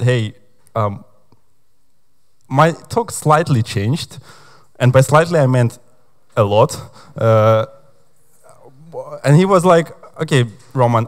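A young man from Ukraine giving a conference talk on stage, chatty and hesitant, with lots of fillers. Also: mid-range voice. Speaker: conference speaker.

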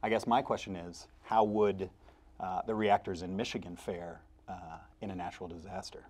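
Low-pitched American male panel moderator. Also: fairly even intonation, moderate pace, darkish tone, breathy edge. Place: TV studio at a desk.

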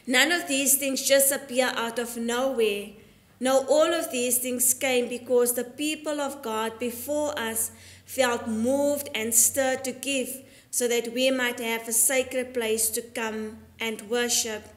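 A middle-aged woman, an Anglican priest delivering a prepared sermon in a measured, deliberate, earnest way. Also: on the high side, steady tone. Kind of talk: sermon, read from prepared text. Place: inside a church, at the altar. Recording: echoey church acoustic.

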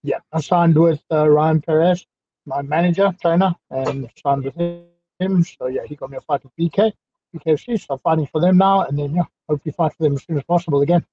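A man's voice: a little high, a little fast. A man in his thirties with a South African accent, talking casually as a guest over a headset on a video call from a small indoor room. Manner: relaxed, chatty, laughs easily. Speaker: guest fighter. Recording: muffled lo-fi call audio.

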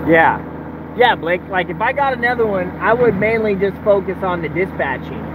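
A man's voice: high-pitched, animated, moderate pace, narrow, tinny audio. A man in his thirties vlogging casually from inside his truck cab, talking in a loose, rambling, laid-back way into a phone held at arm's length. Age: 30s. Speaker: truck business owner vlogger. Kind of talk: casual talking-head vlog. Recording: phone audio with engine noise.

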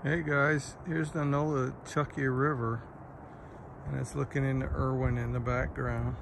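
A man's voice: mid-range voice, flat delivery, unhurried, vocal fry, background noise. A man in his fifties chatting casually while hiking a forest trail, folksy and easygoing, filming on a handheld phone. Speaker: thru-hiker vlogger.

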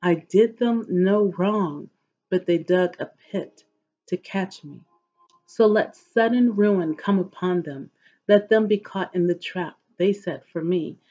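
A middle-aged woman reading scripture aloud in a measured, solemn, devotional way, with a husky voice. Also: lowish voice, mellow, faint music behind. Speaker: host of an inspirational reading series. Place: living room at home, indoors. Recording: dull, lo-fi webcam audio.

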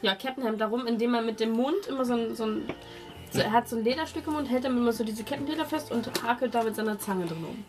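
A woman's voice: on the high side, fast talker. A woman improvising narration as game master of a tabletop role-playing game, often searching for words. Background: music.

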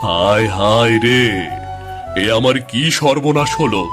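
A man's voice: medium pitch, takes it slowly, over music, natural voice. A man playing a hapless, henpecked poor village farmer in a cartoon, with a plaintive, folksy way of talking. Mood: lamenting. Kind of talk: cartoon voice acting.

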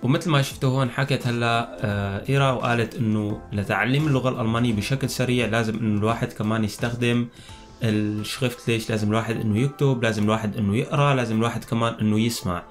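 A man's voice: mid-range voice, steady tone, racing, soft background music. A young man, a native Arabic speaker with a Syrian accent, presenting and interviewing as host of a language video. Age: young adult. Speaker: host of a language-learning channel. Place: small indoor room.

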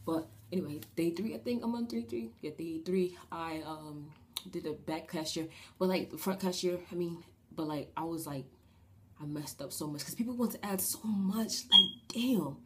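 In a small bedroom, a young woman with a low, raspy voice talks into her webcam and rambles through a storytime with plenty of fillers. Her voice sounds a little shaky, and the noisy home recording has a steady hiss under it. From New Jersey. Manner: rambling and animated, with many fillers and quick gestures. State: shaky voice after a breathing treatment. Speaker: vlogger. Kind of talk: casual storytime vlog. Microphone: webcam at desk distance.

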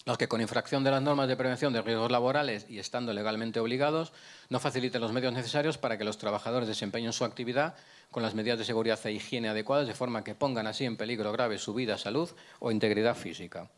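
A middle-aged man speaks into a handheld microphone in a conference room, lecturing at an ordinary pitch. He explains patiently, poses questions and answers them himself, often restarting a phrase before finishing it. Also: steady tone, quick pace. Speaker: prosecutor giving a conference talk. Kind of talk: lecture with slides.

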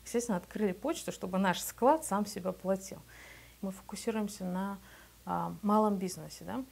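A woman's voice: medium pitch, moderate pace, vocal fry, darkish tone, husky. A woman in her thirties from Belarus, a business owner telling her story in a documentary interview in a small office, speaking matter-of-factly into a lapel mic. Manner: matter-of-fact, conversational.

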